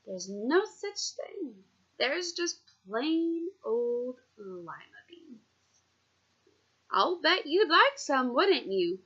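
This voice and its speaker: A kind old woman from a storybook, acted out by a female reader. Her voice is high and bright, sweet and grandmotherly, with a gentle, reassuring way of speaking. The recording is a little dull. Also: very animated, extremely slow, full character voice. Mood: kindly.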